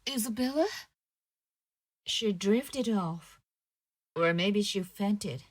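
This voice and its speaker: A woman's voice: medium pitch, slow pace, no room sound. A woman playing an earnest, kind-hearted, outspoken young woman investigator in an audio drama. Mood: subdued. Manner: expressive, earnest.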